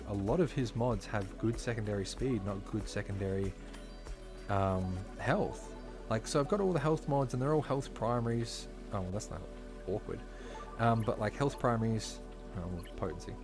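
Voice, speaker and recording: A man with a somewhat low, slightly hoarse voice chats to his live viewers as he plays. He rambles casually, leaning on fillers and asides. Music plays clearly behind him. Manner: chatty, filler-heavy, talks while playing. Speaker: game streamer. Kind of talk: casual live-stream commentary. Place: home streaming setup. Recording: clear background music under voice.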